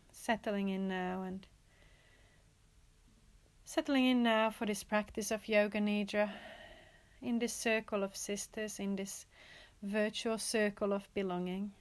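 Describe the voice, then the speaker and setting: A woman teaching yoga nidra, guiding a relaxation in a gentle, soothing manner with pauses and repeated, inviting phrases. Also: mid-range voice. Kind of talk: guided relaxation meditation.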